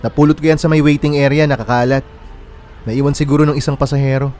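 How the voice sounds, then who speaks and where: A male actor plays a young man in a radio drama, friendly and a little anxious by temper. He speaks in an easy, conversational way at a middling pitch. Music plays faintly beneath him. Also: fairly even intonation, quick pace, darkish tone, lightly acted. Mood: polite, helpful. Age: young adult. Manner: casual, conversational. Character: friendly, worried young man waiting at a bus terminal. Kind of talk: naturalistic radio drama dialogue in Tagalog.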